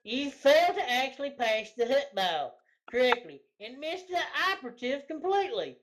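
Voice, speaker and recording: A woman's voice: high-pitched, very animated, normal speed, background noise. A woman co-host reading gamer forum posts aloud for comedy, casual and prone to giggling.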